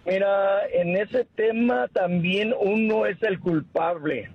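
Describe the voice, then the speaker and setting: High-voiced man on a muffled call. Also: takes it slowly, slightly rough, no echo. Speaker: radio call-in guest. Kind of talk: casual phone opinion. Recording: muffled, slightly noisy phone call.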